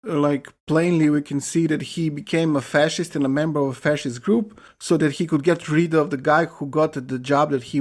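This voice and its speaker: A man co-hosting a podcast, casually analysing a film in a measured, halting, dry way. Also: a little high. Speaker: podcast co-host.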